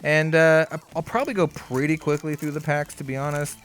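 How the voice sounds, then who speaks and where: A man hosting a card game channel, chatting casually through an unboxing, easygoing and upbeat with lots of fillers, his voice a bit hoarse. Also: a little high, fairly even intonation, brisk, fairly bright tone, soft background music. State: slightly hoarse.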